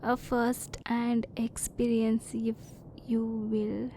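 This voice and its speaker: A woman voicing a curious, reserved female alien in an ASMR roleplay, speaking softly in formal, stilted phrases, at times close to a whisper. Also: fairly high pitch, flat delivery, drawn-out words, a little hiss or hum. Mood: hesitant, earnest. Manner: soft-spoken, sometimes near whisper.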